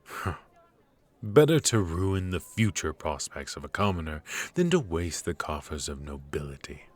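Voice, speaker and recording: A man's voice: low voice, sing-song, normal speed. A man voicing a cold, strict royal professor of magic, stern and clipped, with dry, condescending remarks in an ASMR roleplay.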